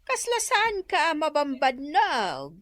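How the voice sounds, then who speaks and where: A woman's voice: high-pitched, wildly swooping pitch, slow pace, a bit bright, heavily acted. A woman with an Ilocano accent playing an inquisitive, easily amazed gossip in a comedy radio drama. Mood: curious, amazed. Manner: inquisitive, exclamatory.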